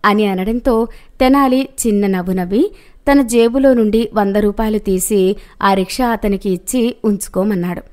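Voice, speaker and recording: A woman's voice: mid-range voice, normal speed, darkish tone. A woman reading a scripted moral story as its narrator.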